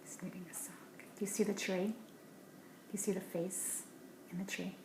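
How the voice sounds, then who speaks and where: A woman reading a picture book aloud to children, dropping to hushed asides about the pictures between livelier read lines. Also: medium pitch, level tone, moderate pace. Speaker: storybook reader. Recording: roomy echo, faint background hiss.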